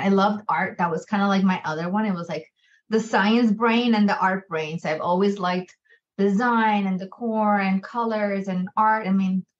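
A middle-aged woman from Colombia, whose first language is Spanish, answers questions in an informal, chatty way. Her pitch sits in the middle, and the recording is a little dull and lo-fi. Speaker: podcast guest, physician assistant. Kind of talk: conversational interview answers. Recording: slightly dull, lo-fi sound.

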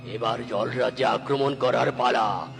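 A man voicing a boastful, scheming male cloud demon in a cartoon story, menacing and booming. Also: creaky. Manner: slow, menacing, booming villain delivery. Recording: loud background music.

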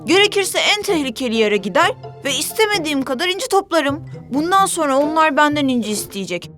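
A woman voicing a stubborn, selfish and bossy young sea creature in a children's cartoon, defiant and quick to argue. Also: high voice, big pitch swings, a bit bright, faint music behind. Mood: stubborn, resentful. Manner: defiant, argumentative, quick to take offense.

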